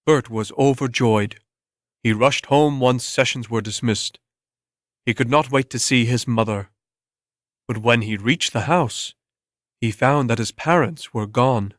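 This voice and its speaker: Male storyteller, medium pitch, scripted dramatic narration, very dry close recording. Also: unhurried, no room sound.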